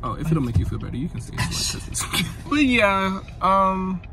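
A man's voice: sits high, sing-song, moderate pace, gravelly. A young man chatting casually to his phone camera from inside a car, laid-back and self-mocking. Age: young adult. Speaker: vlogger. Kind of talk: casual vlog chat. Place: parked car interior. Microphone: phone held at arm's length. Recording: noisy car interior.

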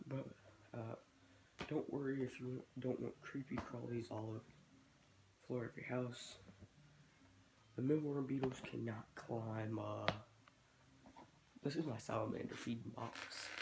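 A teenage boy chats loosely about his hobby in a small bedroom, thinking aloud as he goes. His voice is stuffy from a cold and has a gravelly fry at the low end. The webcam recording carries a clear hiss of background noise. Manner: rambling, thinking aloud while working. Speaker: reptile-keeping vlogger. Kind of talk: casual hobby vlog. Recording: noisy home webcam recording.

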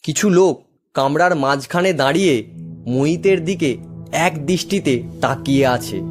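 A man giving scripted narration of a horror story as its storyteller. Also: a little high.

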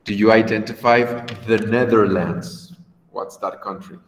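A man's voice: lowish voice, steady tone, a little slow, roomy sound, long reverb tail, a little hiss or hum. Patient Mexican man teaching English. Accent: Mexican Spanish. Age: 30s. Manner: patient, encouraging, switches to Spanish to explain. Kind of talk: online English class. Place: home room on a video call. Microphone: video call.

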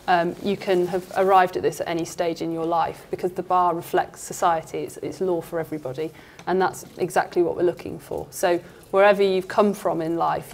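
A young woman barrister from England's Lake District, speaking earnestly and encouragingly to students on a panel in a seminar room. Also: fairly low pitch. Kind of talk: panel conversation.

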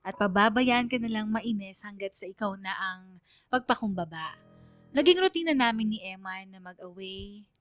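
Actress as troubled student telling her love story. Filipino accent, mid-pitched, confiding radio drama narration over music. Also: lively intonation, normal speed, fairly bright tone, no echo, lightly acted. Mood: resigned. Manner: confiding, reflective. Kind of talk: scripted first-person radio drama narration.

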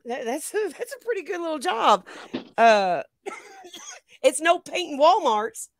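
A woman's voice: high voice, sing-song, slow, dry recording. A woman co-hosting a livestream, chatting informally, reading viewer comments and encouraging her partner along. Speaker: livestream co-host. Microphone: remote livestream call.

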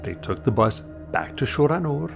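A man's voice: mid-range voice, big pitch swings, normal speed, creaky, music in the background. A man narrating an audio drama as a scripted story.

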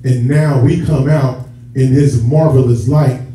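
A man's voice: mid-range voice, steady tone, a little slow, dark tone. Muffled, echoey male preacher from far off. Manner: conversational, addresses listeners directly, stretches key words for emphasis. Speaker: preacher, church elder. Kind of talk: sermon to a congregation. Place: church sanctuary with stage and rows of seats. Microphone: far camera at back of hall.

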